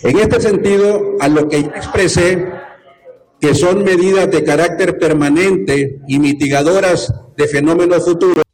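A man, a government minister, answering a reporter's question at a press conference in a deliberate, formal way. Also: a little high, darkish tone, rough recording.

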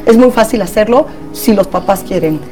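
A woman's voice: mid-range voice, normal speed, mellow, soft background music, low-quality recording. A woman with a Mexican accent, a therapist guest in a casual panel chat, talking in a chatty way with many tag questions.

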